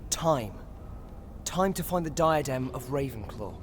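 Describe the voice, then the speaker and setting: A man's voice: mid-range voice, lively intonation, measured pace, in a large hall, slight background noise, natural voice. An actor playing a determined, earnest teenage boy wizard in a video game, speaking directly. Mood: resolute. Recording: music behind the voice.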